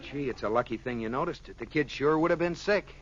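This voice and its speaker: An actor playing a grumbling, wisecracking, rather clueless husband in an old radio comedy. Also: male voice, mid-range voice, quick pace, a crowd in the background, lightly acted. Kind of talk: 1940s-50s radio sitcom acting. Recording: very noisy, muffled old radio recording.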